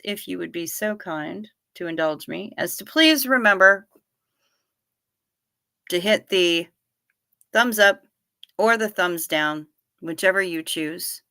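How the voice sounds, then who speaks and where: Bright-voiced chatty woman in her fifties. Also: mid-range voice, smooth, no room sound, studio quality. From Niagara Falls region. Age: 50s. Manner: chatty and playful, addresses viewers by name. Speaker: livestream host. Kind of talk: casual livestream chat reading viewer comments.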